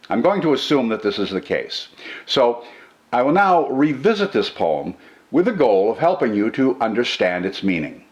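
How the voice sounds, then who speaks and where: An older man with a low-pitched voice narrates like a literature teacher. His delivery is measured and articulate, and his intonation rises and falls widely as he explains. The style is scripted and instructive. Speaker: poetry reader and commentator, host.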